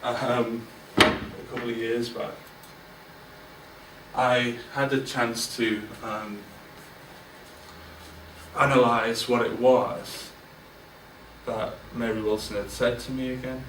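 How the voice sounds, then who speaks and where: A man talks to a roomful of people in a candid, self-deprecating way, stopping and restarting often. He sits at a middling pitch, and he is picked up from across a roomy space with a faint hum of ambience. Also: level tone, slow, long pauses, a bit bright, distant mic. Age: 40s. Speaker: guest speaker. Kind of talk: informal business speech. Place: large event room with an audience.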